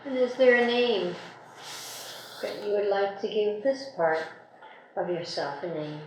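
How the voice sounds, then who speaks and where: A woman leading a guided meditation, speaking gently and unhurriedly in short phrases with long pauses. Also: medium pitch, lively intonation. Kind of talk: guided meditation, soothing slow instruction. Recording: very echoey room, faint background noise.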